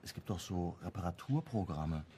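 An actor playing a hunted, wry amateur sleuth, narrating and acting in a crime radio play in a clipped, brooding way. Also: male voice, animated, normal speed, dark tone, slight background noise. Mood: pleading. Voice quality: low, husky. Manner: clipped, brooding, first-person telling.

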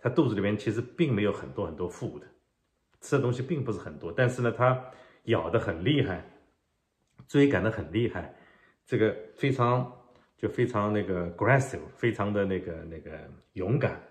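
Low-voiced middle-aged man explaining fishing. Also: lively intonation. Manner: unhurried, explains step by step with drawn-out pauses. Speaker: fishing channel host. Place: home living room, indoors. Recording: roomy echo.

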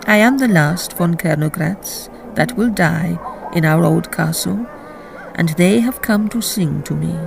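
A reader voicing a dying old woman who speaks slowly and weakly yet with command. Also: mid-range voice, creaky. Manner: slow, weak but commanding.

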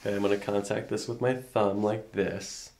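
A man's voice: lowish voice, flat delivery, normal speed, creaky, darkish tone. A middle-aged man working as a chiropractor, giving short, reassuring instructions to a patient during an exam. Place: small carpeted chiropractic office.

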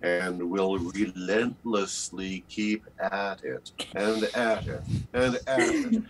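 An older man giving deliberate, measured advice in a panel interview on a slightly noisy video call. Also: deep voice. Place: indoor room, on a video call.

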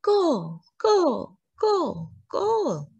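A woman voicing an eager, excitable young schoolboy in a storybook, gushing and shouting. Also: very high voice, extremely slow, vocal fry, highs cut off, voice pushed up, heavily acted. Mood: excited, cheering.

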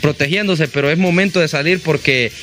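A man's voice: fairly high pitch, brisk, fairly bright tone. A young man with a Honduran accent reporting live from the field and interviewing, speaking into a handheld microphone through a face mask. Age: young adult. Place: outdoors at a house in a neighborhood.

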